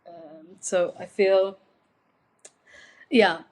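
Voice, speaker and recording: A young woman from India gives a personal interview. She talks in a reflective, searching way, full of pauses and restarts, and her voice sits a little low. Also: steady tone, rough recording. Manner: reflective, hesitant, with many fillers and restarts. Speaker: guest.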